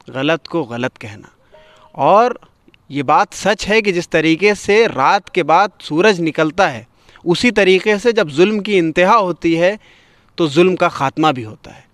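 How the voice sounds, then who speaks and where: A man's voice: fairly high pitch, a bit bright, smooth, no echo. A man hosting a poetry gathering, giving literary commentary between recitations.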